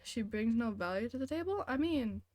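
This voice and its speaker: A woman's voice: fairly high pitch, expressive, normal speed, darkish tone, dry recording, soft background music. A woman live-streaming a video game with casual commentary, playfully sarcastic as she talks back to chat and game.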